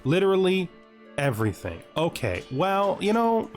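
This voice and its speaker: A man's voice: high-pitched, huge pitch swings, moderate pace, soft background music. A man giving casual let's-play commentary, thinking aloud and wryly addressing the game's characters. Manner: wry, talks to game characters. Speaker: gaming video host.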